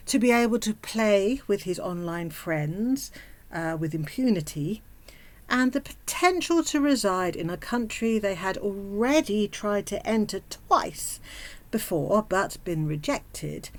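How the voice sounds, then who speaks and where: A woman narrating a scripted comic story in a sardonic, theatrical manner, with mock-grand wording and drawn-out dramatic pauses. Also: mid-range voice. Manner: mock-grand wordy phrasing. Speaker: storyteller host.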